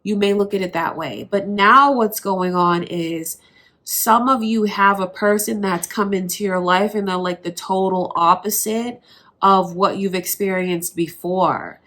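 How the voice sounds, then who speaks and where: A woman reading tarot cards in an easy, conversational way, speaking right to the listener. Her voice sounds stuffed up and congested from allergies, sitting at a middle pitch for a woman. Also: steady tone, measured pace, a bit bright. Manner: conversational, reassuring, talks straight to the viewer. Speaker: tarot reader. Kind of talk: casual tarot reading to camera.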